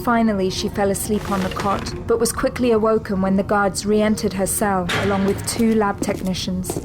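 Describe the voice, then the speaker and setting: A woman narrates an audio drama in a scripted, dramatic style. Her pitch is medium. Music is clearly heard behind her voice. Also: little pitch movement, normal speed.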